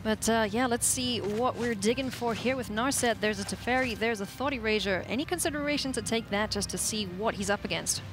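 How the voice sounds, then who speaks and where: A woman's voice: on the high side, brisk, few pauses. A woman hosting and commentating live on an esports match from a broadcast desk, on a headset mic. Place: broadcast desk in an event arena. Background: music.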